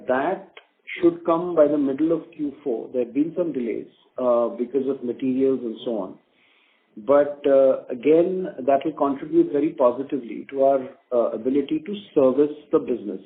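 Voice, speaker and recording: A man giving a business update as a company executive on a conference call, speaking in a halting, measured way with many filler phrases, over a narrow phone line. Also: mid-range voice. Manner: halting, hedging with frequent you-knows, measured.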